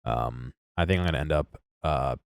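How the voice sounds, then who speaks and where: A man's voice: rumbling low, normal speed, creaky, clear, resonant tone, no room sound. A congested man chatting casually to his live-stream audience, rambling and interrupting himself, chuckling now and then.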